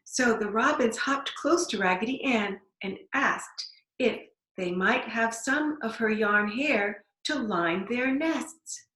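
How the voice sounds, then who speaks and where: A woman reading a storybook aloud to young children, deliberately, with simple explanatory asides. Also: fairly high pitch, fairly bright tone. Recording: echoey room.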